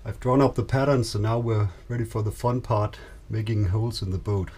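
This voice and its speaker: A man's voice: on the low side, fairly even intonation, fairly quick, a little mellow. A man in his thirties or forties with a Danish accent, narrating a casual DIY vlog in a hesitant, understated way. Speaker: boat owner and vlogger. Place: small boat cabin. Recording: clear background noise on camera audio.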